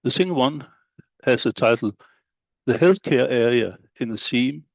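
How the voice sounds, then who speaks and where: A middle-aged man with a Danish accent and Danish as his first language, giving a measured conference presentation as a school head of studies, laying out points one by one with occasional fillers. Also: medium pitch, animated, vocal fry, no echo, lo-fi sound. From Denmark. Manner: measured, list-like delivery with fillers.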